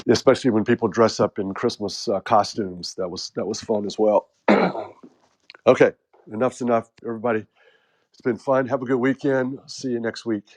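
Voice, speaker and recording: A man's voice: on the low side, a little mellow. A man with an American accent hosting a casual group chat, rambling and joking with lots of fillers. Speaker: host.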